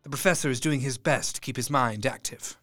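A man's voice: mid-range voice, fairly quick, a bit bright, no room sound, lightly acted. An actor narrating as a troubled Victorian doctor writing his diary, grave and sympathetic, over faint music. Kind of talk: audio drama narration.

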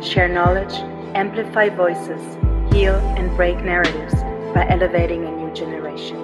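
A woman's voice: lowish voice, level tone, moderate pace, over loud music. A woman reading a scripted podcast introduction in a declamatory way. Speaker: podcast host.

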